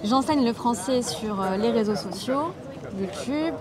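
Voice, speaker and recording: A woman in her twenties from Toulouse, a French teacher speaking as a guest in a relaxed interview, explaining in a clear, measured way. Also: a little high, crowd noise. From Toulouse, southwest France. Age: 20s. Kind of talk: casual conversational interview.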